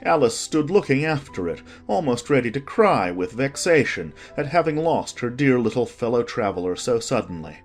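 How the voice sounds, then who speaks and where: A narrator reading a children's storybook in an expressive, scripted storytelling manner. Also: medium pitch, normal speed, hardly any pauses, soft background music. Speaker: audiobook narrator.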